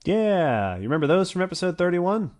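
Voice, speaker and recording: A man's voice: sits high, sing-song, brisk, a little mellow. A man co-hosting a podcast, chiming in with playful, casual banter and short reactions. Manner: playful, interjecting. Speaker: podcast co-host.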